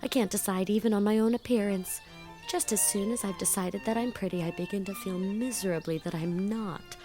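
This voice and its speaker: A woman's voice: mid-range voice, fairly quick, mellow, faint music behind, lightly acted. A woman playing a gushing, vain young student. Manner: gushing, breathless chatter with playful emphasis. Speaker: voice actor. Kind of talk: audio drama acting.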